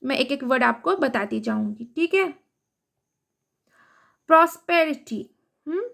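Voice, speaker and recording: A woman with an Indian accent, reading an English story aloud slowly and translating it word by word into Hindi as a teacher. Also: sits high, fairly bright tone. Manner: reads word by word, translating each.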